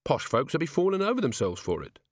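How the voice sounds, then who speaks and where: A man's voice: on the high side, wildly swooping pitch, fast talker, no echo, clean studio sound, voice pushed up. A man voicing a naive, eager pub drinker.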